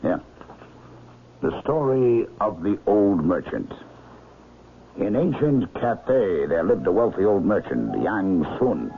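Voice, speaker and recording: Knowing gentleman amateur detective, low and very animated, deliberate storyteller, old radio drama. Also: exaggerated voice. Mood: knowing, storytelling. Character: perceptive older gentleman amateur sleuth, unhurried and knowing. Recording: lo-fi noisy vintage radio broadcast.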